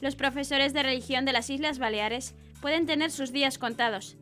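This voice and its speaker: Woman newsreader with a high voice. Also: fairly even intonation, normal speed, sharp tone, faint music behind. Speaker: news presenter. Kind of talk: scripted news narration.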